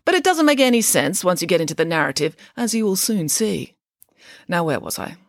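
A woman's voice: fairly low pitch, big pitch swings, a little fast, no room sound, studio quality. A woman voicing a confident, dryly witty pig nanny telling a storybook tale with strong opinions. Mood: opinionated. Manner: self-assured, opinionated.

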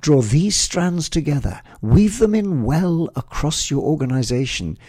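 A man narrating a rhyming Christmas tale in a measured, expressive recitation. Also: mid-range voice, slightly rough, no echo.

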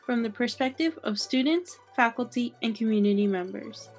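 A woman's voice: a little high, normal speed, soft background music. A woman co-hosting a podcast, reading a set welcome and asking the guest polite questions. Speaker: podcast co-host. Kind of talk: scripted podcast intro and interview questions.

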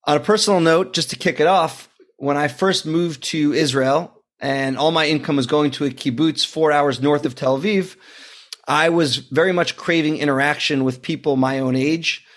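Male host, thirties, slightly higher voice, polished scripted intro. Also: steady tone, normal speed. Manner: scripted introduction, friendly questions. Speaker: podcast host. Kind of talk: interview hosting.

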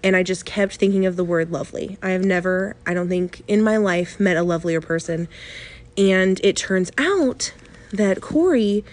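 A woman's voice: medium pitch, normal speed. A woman hosting a podcast, upbeat and effusive as she introduces her guests. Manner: upbeat and effusive, laughs easily. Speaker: podcast host. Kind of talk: casual chat.

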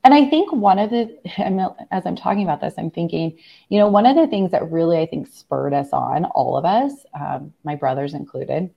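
Husky-voiced woman recovering from laryngitis. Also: on the low side, moderate pace, muffled recording. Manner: earnest, rambling with many fillers. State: hoarse after laryngitis. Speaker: guest. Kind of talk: personal testimony in a conversation.

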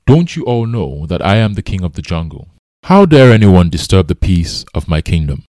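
Man voicing a commanding, deep lion king. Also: a little fast, no room sound, clean studio sound, close to an everyday voice. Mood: indignant. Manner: lordly, loud, authoritative.

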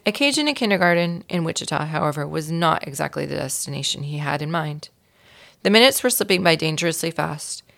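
A woman reading a children's story aloud in an expressive storytelling style, with a creaky voice. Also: low voice, brisk. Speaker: volunteer reader of a children's book.

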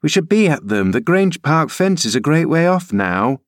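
A fretful middle-aged nursemaid who scolds and insists, as a man reading a storybook puts her on. The voice is pitched up, and the sound is dry and close. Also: sing-song, normal speed, no room sound. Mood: urging.

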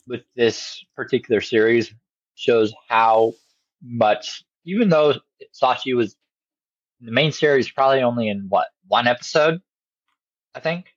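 A man's voice: medium pitch, no echo, highs cut off. A man co-hosting a podcast, chatting casually in a rambling way that doubles back on itself. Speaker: podcast co-host. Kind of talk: casual chat about an anime parody.

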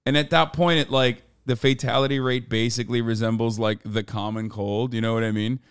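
A man talking casually on a podcast, loose and profane, with plenty of fillers and jokes. His voice comes through smooth and clear, with a steady middle pitch. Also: fairly quick. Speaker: podcast host.

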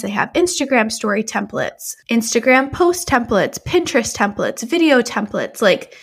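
A woman's voice: fairly high pitch, a bit bright. A young woman hosting a podcast, chatting in a casual, upbeat way while fighting a cold, with frequent light laughs. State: has a cold. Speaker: podcast host.

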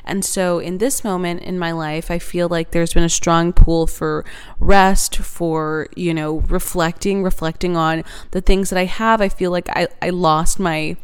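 A woman's voice: on the low side, steady tone, a little slow, clear, resonant tone. A woman in her twenties hosting a reflective faith podcast, earnest and chatty, laughing now and then and using many fillers. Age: 20s. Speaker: podcast host.

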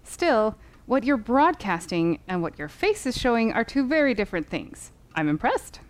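An authoritative lawyer, very lively intonation. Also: female voice, a little high, moderate pace. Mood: approving. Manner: authoritative, instructive, emphatic. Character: brisk, exacting lawyer coaching witnesses, unflinching strategist. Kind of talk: audio drama acting.

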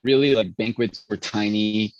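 A man's voice: lowish voice, steady tone, normal speed, dull audio. A man who spoke Spanish first, a pastry chef telling long rambling stories full of restarts in an interview. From born in Chicago, raised in Venezuela. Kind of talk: casual interview storytelling.

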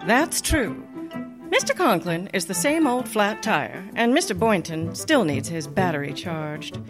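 A woman playing a sardonic high school English teacher with dry, sarcastic delivery in a radio comedy. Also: sing-song, unhurried, music in the background. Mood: wry narration.

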